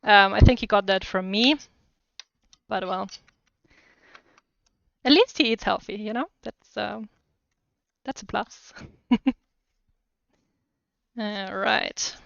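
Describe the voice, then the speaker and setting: A woman's voice: medium pitch, slow, gravelly, long pauses, fairly bright tone, dry recording. A woman with a German accent chatting casually and enthusiastically to live viewers as she cooks, in a slightly muffled recording. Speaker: cooking livestream host. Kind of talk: casual chat to live viewers while cooking.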